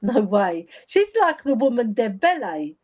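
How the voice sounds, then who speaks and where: A woman's voice: on the high side, sing-song, unhurried. A woman from England with an English accent, chatting casually and reacting as she watches a live football match. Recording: dull, lo-fi stream audio.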